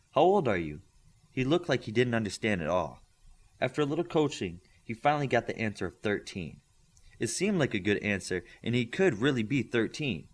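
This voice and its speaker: A man reading scripted story narration as an audiobook reader. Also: on the low side.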